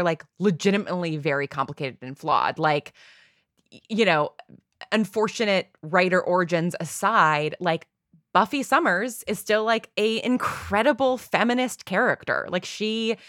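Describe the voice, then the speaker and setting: A woman's voice: fairly low pitch, fairly bright tone, no echo, studio quality. A woman in a casual, opinionated discussion, speaking emphatically and informally with plenty of "like". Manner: emphatic, informal, peppers speech with "like". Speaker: podcast guest, audio drama writer.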